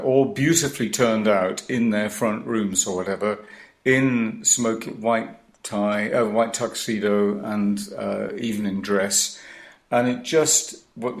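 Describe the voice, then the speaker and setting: A man in his sixties from Wales, a baritone singer, talking as a guest in a radio interview from his home in a rambling, hesitant way, often stopping and restarting.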